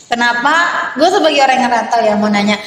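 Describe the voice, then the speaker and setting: A woman's voice: a little high, fast. A young woman hosting a podcast, asking questions in casual slangy chat. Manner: chatty, asks many questions, Jakarta slang. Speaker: podcast host. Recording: echoey room with long reverb.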